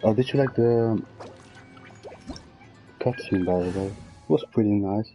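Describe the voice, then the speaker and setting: A man with a low, mellow voice talks casually over gameplay. He thinks aloud in an informal way, like a streamer chatting with viewers, with game music behind him. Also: a little slow.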